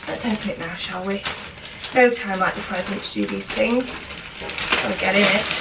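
A woman's voice: medium pitch, creaky. A British woman in her twenties, bunged up with a cold, chatting casually and upbeat as she unpacks shopping and a parcel at home. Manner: chatty, upbeat, thinking aloud. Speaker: fashion vlogger. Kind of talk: casual vlog chat. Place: home kitchen, indoors. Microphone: camera mic from a distance. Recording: echoey kitchen, far from mic, loud noise.

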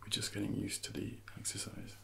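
A man in his thirties speaking softly and breathily in an ASMR roleplay as a personal trainer, often slipping into whispers while instructing. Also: brisk, very noisy. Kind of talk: soft-spoken ASMR roleplay.

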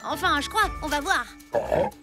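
A woman voicing a cheerful, brave young boy in a cartoon, talking in a lively, playful way. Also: high-pitched, normal speed. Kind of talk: cartoon dubbing. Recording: music playing behind.

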